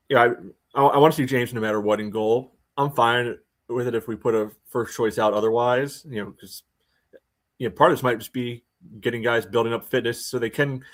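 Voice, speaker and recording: A man co-hosting a sports podcast, giving casual soccer analysis in loose, halting, conversational phrases. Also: medium pitch, steady tone.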